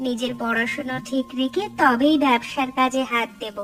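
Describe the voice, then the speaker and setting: A woman's voice: high-pitched, steady tone, normal speed, a bit bright. A woman voicing an eager, earnest young college student in cartoon story dialogue. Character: eager young female college student planning a snack stall.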